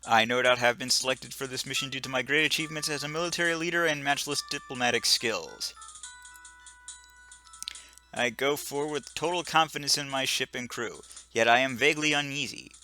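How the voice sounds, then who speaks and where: A man's voice: mid-range voice, fairly quick, sharp tone, faint music behind. A man reading the lines of a pompous young male space cadet who daydreams of being captain, stumbling over some words. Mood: self-important.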